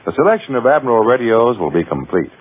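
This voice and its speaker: A man's voice: medium pitch, big pitch swings, fast talker, phone-quality sound, slight background noise. A man reading a scripted vintage radio advertisement as a commercial announcer.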